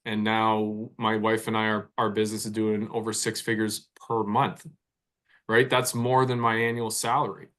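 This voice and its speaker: A man's voice: on the low side, completely flat delivery, normal speed, a bit bright. A man from Canada in his forties, a mindset coach speaking as a podcast guest in a reflective way, often repeating words as he thinks. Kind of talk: conversational podcast interview.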